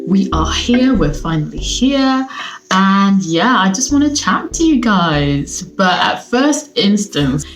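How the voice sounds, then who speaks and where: A woman's voice: mid-range voice, big pitch swings, slow, faint music behind, rough recording. A young woman from Sweden, chatting casually and cheerfully to her viewers from home. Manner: chatty, upbeat, lots of fillers. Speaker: vlogger. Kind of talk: casual chat to camera. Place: at home, seated.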